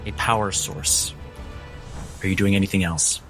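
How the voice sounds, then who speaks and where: A man narrating a tabletop roleplaying game as game master, with deliberate, dramatic phrasing. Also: low voice, steady tone, a bit bright, faint music behind.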